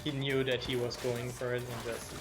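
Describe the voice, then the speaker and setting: A man with a German accent doing live play-by-play commentary on a match, quick and engaged as he reacts to the action. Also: mid-range voice, flat delivery. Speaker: esports commentator. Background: game music.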